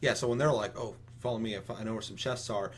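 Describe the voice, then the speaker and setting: A man's voice: on the low side, steady tone, fast talker, a little hiss or hum. A man in a casual, profane gaming chat as podcast host, often quoting imagined characters mockingly.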